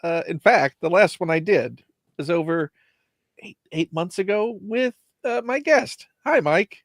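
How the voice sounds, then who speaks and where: A man hosting a casual live chat, crisp voiced and joking with his guest. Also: animated, unhurried, no echo, studio quality. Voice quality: crisp, slightly high voice. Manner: playful, jokey. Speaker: host.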